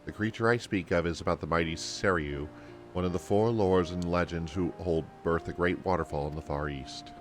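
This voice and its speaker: Guy reading as a kindly formal lady storyteller in a fantasy game, really low voice, old-timey wording. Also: moderate pace, faint music behind, close to an everyday voice. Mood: solemn storytelling. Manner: formal, archaic, kindly phrasing. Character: earnest, courtly female storyteller in a fantasy game. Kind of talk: game dialogue read aloud.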